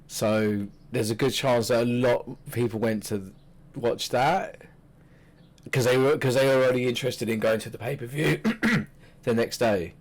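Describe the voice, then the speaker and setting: A young man co-hosting a podcast, chatting about wrestling in a halting way with many restarts. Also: medium pitch. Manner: hesitant, many restarts and fillers. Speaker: podcast co-host. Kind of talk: casual wrestling chat.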